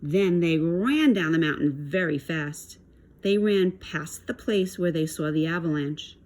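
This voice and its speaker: A woman reading a storybook aloud to children in an expressive style. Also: low voice, a little slow.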